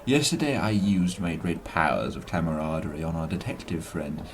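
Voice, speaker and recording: A man playing a smooth, scheming politician in a radio drama, speaking in a pompous, florid and formal way. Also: rumbling low, normal speed. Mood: scheming, imperious. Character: smooth, scheming male politician villain, falsely cordial.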